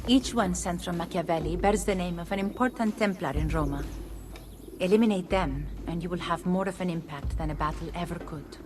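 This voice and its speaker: A woman's voice: fairly low pitch, fairly quick, noisy background, natural voice. A woman acting a shrewd, composed lady strategist in a video game.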